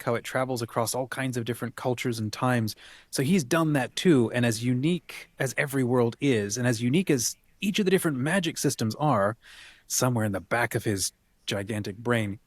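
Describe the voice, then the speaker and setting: A man's voice: mid-range voice, dry recording. A man co-hosting an enthusiastic, casual chat about books, emphatic and full of superlatives. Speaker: co-host.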